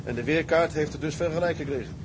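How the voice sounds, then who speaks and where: An actor playing a level-headed, decisive tugboat captain who gives orders in a Dutch radio drama. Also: male voice, a little high, fast, close to an everyday voice. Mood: briefing, authoritative. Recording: clear engine and sea ambience noise.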